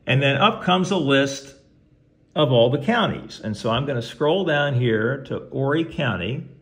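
A man's voice: medium pitch, expressive, measured pace. A man giving a casual how-to walkthrough in a folksy way, talking himself through each step. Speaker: presenter of how-to video. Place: indoors at home, beside a tabletop radio. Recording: clearly noisy home recording with clicks.

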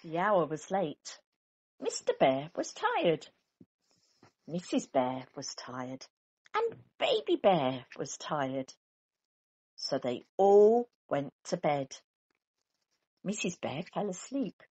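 A woman reading a children's picture book aloud in a deliberate, animated storytelling manner. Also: low-pitched, breathy edge. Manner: deliberate, animated, with character sounds. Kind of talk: expressive children's story narration.

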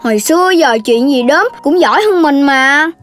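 Cartoon piglet boy: very high, unhurried, cheeky, food-loving and blunt, over music. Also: lively intonation, a bit bright. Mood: teasing. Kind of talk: children's cartoon dubbing in Vietnamese.

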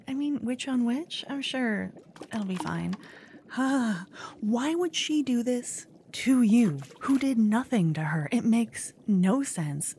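A woman voicing a jealous, doting young witch girlfriend in an intimate ASMR roleplay, breathy and often whispering, with dramatic delivery. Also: a little high, darkish tone, a little hiss or hum, exaggerated voice. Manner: dramatic, swinging from cooing to venting. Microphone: close ASMR microphone.

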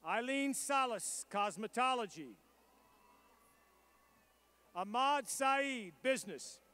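A man reading out graduates' names and their programs at a commencement ceremony, in a measured and formal roll-call delivery. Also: very high-pitched, animated, bright, forceful tone, clean tone. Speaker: commencement name reader.

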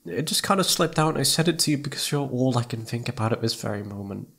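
Man acting a needy, soft-spoken submissive boyfriend. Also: medium pitch, expressive, fast. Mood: adoring, grateful. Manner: soft, breathy, hesitant stammering, pleading. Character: needy, adoring, submissive young boyfriend, shy and tender. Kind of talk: ASMR boyfriend roleplay.

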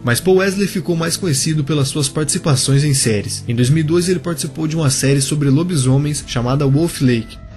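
A man's voice: on the high side, a little fast, a little mellow, soft background music. A man narrating a biography video for a YouTube channel, speaking casually and directly to his viewers.

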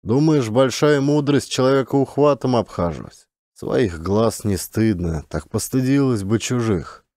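A man's voice: mid-range voice, animated, unhurried, a little mellow, no echo, clean studio sound, lightly acted. A man voicing a simple, good-natured, grumbling peasant hunter with rustic, folksy speech, in an audiobook. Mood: reproachful grumbling.

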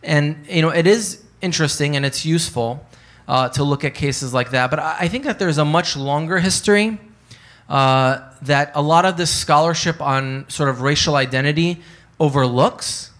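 A man's voice: fairly high pitch, moderate pace, a bit bright. A man in his thirties giving a conversational academic talk on a panel, speaking into a handheld microphone in a hotel conference room, with many fillers and hedges.

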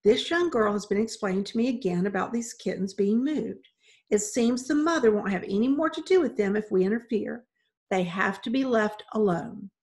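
A woman voicing a tiny older housewife who is steady, stern and no-nonsense, in a storybook reading. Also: a little high, measured pace. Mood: steady, insistent. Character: tiny, steady, firm older custodian's wife.

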